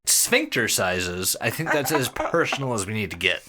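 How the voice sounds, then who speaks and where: A woman co-hosting a casual comedy podcast. Her pitch swings widely as she talks crudely and swears freely. Other people's voices can be heard around her. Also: very animated, moderate pace, over a noisy crowd. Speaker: podcast co-host. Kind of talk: casual comedic chat and storytelling.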